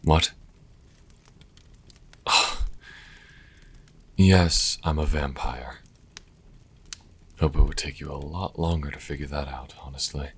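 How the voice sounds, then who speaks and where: A man's voice: a bass voice, slight background noise, heavily acted. A man playing a sassy vampire and reluctant caretaker in an audio roleplay, speaking dryly and sardonically to the listener. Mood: exasperated. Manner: dry, sardonic, unhurried. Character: sassy male vampire, former thrall, grudging caretaker.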